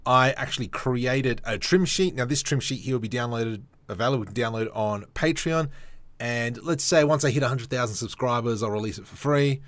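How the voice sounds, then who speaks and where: A young man hosting a casual software tutorial, chatty and self-mocking as he thinks aloud while he works at a desk mic. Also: medium pitch, normal speed, no echo. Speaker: 3D modeling tutorial host.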